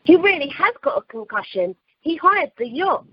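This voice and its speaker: A woman's voice: high-pitched, very animated, moderate pace. A woman in an amateur radio play, playing a sensible, wry woman who fusses with concern. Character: sensible, wry woman fussing over an injured man. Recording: noisy, dull telephone conference line.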